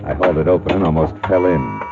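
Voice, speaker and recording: A low-pitched, hardboiled American private eye, wry and slangy in the manner of 1940s radio. He sounds tough and quick with a wisecrack. The old recording is dull, with music clearly behind him. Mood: grim, wry narration. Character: wry, tough male private detective narrating his case. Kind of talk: 1940s radio acting, hardboiled detective narration.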